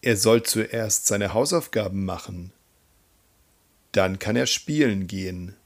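A man working as a language teacher, reading example sentences in a deliberate, instructional way. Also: lowish voice, creaky. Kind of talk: scripted grammar drill, reading example sentences.

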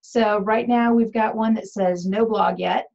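Female webinar host, mid-pitched, casual moderator, slightly muffled video-call sound. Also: steady tone, unhurried, vocal fry, darkish tone. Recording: dull video-call audio.

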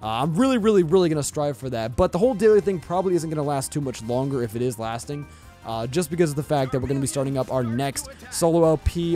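A young man with a Southern US accent, rambling excitedly and casually as he commentates his Let's Play at home. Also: fairly high pitch. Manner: chatty, rambling, excitable, says bro and yo. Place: home recording setup with webcam. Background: faint game music.

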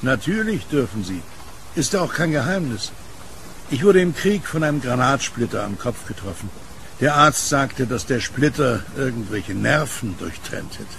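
An actor playing a gentle, easygoing blind newspaper seller and war veteran in an audio drama, speaking in a friendly, matter-of-fact way. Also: male voice, medium pitch, very animated, moderate pace, creaky, a little hiss or hum. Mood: calm, candid. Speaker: kiosk newspaper vendor.

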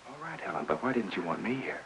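An actor in his thirties playing a calm, protective man in a 1950s film melodrama, firm and reassuring. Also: low voice, quick pace, heavily acted. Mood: earnest. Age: 30s. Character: calm, protective man steadying a panicking woman. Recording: faintly noisy vintage film soundtrack.

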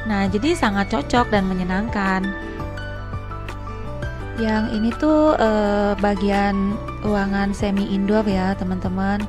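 A woman's voice: mid-range voice, fairly even intonation, slow, pauses often, music in the background. A woman doing a casual, chatty vlog voice-over, addressing viewers as friends. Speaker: vlogger and narrator.

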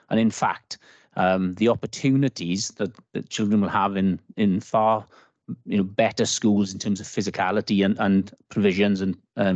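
Man, council officer, low voice, dry dull call audio, measured. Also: a little mellow, dry recording. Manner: measured, hesitant with fillers. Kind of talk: answering questions at a meeting.

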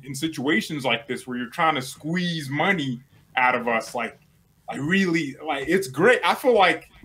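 A man's voice: on the high side, fairly bright tone, low-quality recording. A young man in his twenties, a guest rival fan, bantering playfully and teasing on a video call from a small room at home. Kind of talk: casual banter.

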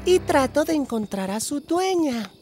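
A woman's voice: high-pitched, big pitch swings, a little slow, over music. A woman voicing a polite, earnest young boy prince in a cartoon dub, courteous and sincere. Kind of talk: cartoon dubbing.

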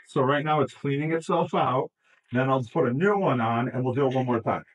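A male police officer talks someone through a breath test in a calm, reassuring, coaching way. His voice is husky and mid-pitched. He is picked up by a ceiling security camera in a station booking room. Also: fairly quick, rough recording. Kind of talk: procedural instructions.

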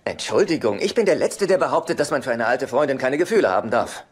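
A hapless, moralizing divorced brother acted by a man in a sitcom dub. He is fussy and earnest, with a medium-pitched, very animated voice. The soundtrack has clear background noise. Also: fast, natural voice. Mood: awkwardly earnest. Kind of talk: dubbed sitcom dialogue.

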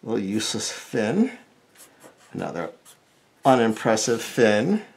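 A man's voice: lowish voice, sing-song, a little slow. A middle-aged American man giving a casual step-by-step drawing lesson, thinking aloud informally as he works. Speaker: cartoonist, drawing instructor.

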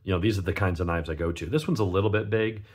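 A man with a gravelly, sniffly voice from a cold, giving a casual unscripted product review in a rambling, conversational way. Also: low-pitched, very fast, darkish tone. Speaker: knife reviewer, channel host.

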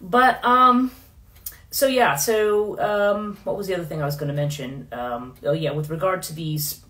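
A middle-aged woman hosting a casual livestream in her living room, rambling with many fillers and restarts, her voice going hoarse. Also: lowish voice, a little slow, a bit bright. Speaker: podcast host. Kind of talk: casual livestream talk on myth.

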